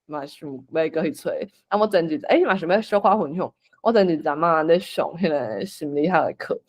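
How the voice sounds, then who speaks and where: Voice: mid-range voice, animated, brisk. A host with a Taiwanese accent, moderating a casual discussion and inviting others to share.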